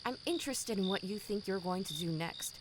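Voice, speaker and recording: A woman's voice: mid-range voice, fairly quick, background noise, natural voice. A woman playing a cold, condescending company boss in an audio drama, formal, smug and menacing. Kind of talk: scripted audio drama acting.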